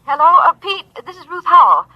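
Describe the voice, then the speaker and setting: A woman's voice: very high voice, big pitch swings. An actress playing an anxious young mother who stammers with worry over the phone, in a 1950s radio drama. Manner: anxious, halting. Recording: tinny phone line, old radio broadcast.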